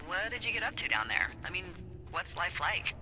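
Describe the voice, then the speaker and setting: Game role: candid, teasing woman fire lookout, very bright forceful voice over two-way radio, faint noise. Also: on the low side, sing-song, normal speed, heavily acted, low-quality recording. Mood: playful, curious. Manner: wry, conversational, teasing. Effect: walkie-talkie radio. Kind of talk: naturalistic video game dialogue.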